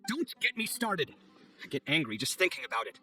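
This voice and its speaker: A proud young architect from a video game, easily exasperated and haughty. The voice is fairly high for a male, sharp and forceful, swinging dramatically in pitch. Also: sing-song, a little fast, slightly rough, faint music behind, full character voice. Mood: sulky, annoyed. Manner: indignant, theatrical, haughty.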